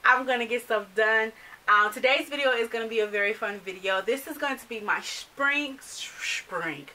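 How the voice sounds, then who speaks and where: A woman's voice: fairly high pitch, normal speed, far from the mic, rough recording. A woman in her thirties, a fashion vlogger, chatting animatedly to camera in a small bedroom, English not her first language. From United States. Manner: chatty, animated, gestures while talking. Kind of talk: casual chat to camera. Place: small bedroom filled with clothes and accessories.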